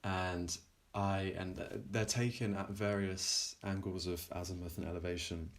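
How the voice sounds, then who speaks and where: A man presenting his own software in an informal technical demo, halting and often pausing mid-sentence. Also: sits low, steady tone. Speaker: plugin developer presenting a demo.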